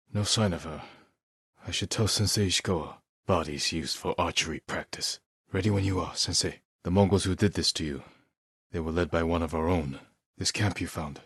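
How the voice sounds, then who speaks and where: Resolute samurai hero, low husky voice, measured and earnest, solemn, video game drama. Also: male voice, no room sound, clean studio sound. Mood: grave, focused. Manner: measured, earnest, formal delivery. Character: solemn, resolute male samurai warrior sworn to justice.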